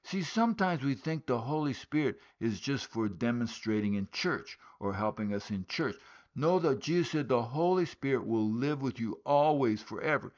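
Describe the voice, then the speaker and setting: A man's voice: mid-range voice, lively intonation, normal speed. Earnest male preacher on a dull recording. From West Africa, born in Liberia, raised in Guinea. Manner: earnest storytelling with rhetorical questions. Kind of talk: sermon.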